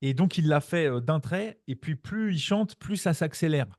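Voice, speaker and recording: A man hosting a podcast in casual chat, relaxed and steering the conversation. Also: fairly high pitch, moderate pace, no room sound, clean studio sound. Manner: relaxed, leads the talk. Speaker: podcast host.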